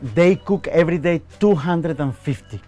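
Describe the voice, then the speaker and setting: Earnest man, slightly high voice. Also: moderate pace, warm tone. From Colombia. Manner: earnest, with frequent restarts and fillers. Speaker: chef and local guide. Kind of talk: on-location interview, explaining. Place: bakery, on location.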